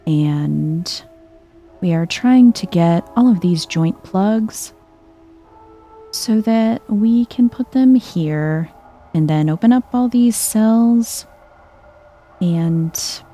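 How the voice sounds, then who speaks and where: Soft, creaky-voiced, low-key woman gamer. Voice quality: soft-spoken. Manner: calm, chill, thoughtful, musing aloud. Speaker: video game streamer, let's player. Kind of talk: soft-spoken casual let's play commentary, ASMR vibe.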